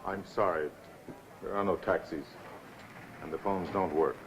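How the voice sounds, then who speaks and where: A man playing a calm, practical seaman hero in an old film, terse and matter-of-fact. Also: deep voice, measured pace, noisy background. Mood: grave. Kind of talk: 1960s film acting.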